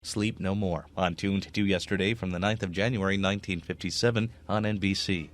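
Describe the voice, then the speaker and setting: A man's voice: low voice, fairly even intonation, a little fast, slight background noise. A man presenting as a radio program host in a scripted announcing style. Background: music.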